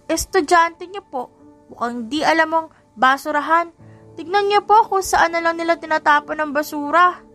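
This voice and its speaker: A woman's voice: very high-pitched, normal speed, pushed and bright, soft background music, pitched above the performer's own voice. A woman voicing a bold, righteous little girl who scolds politely but defiantly in an audiobook story. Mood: indignant scolding.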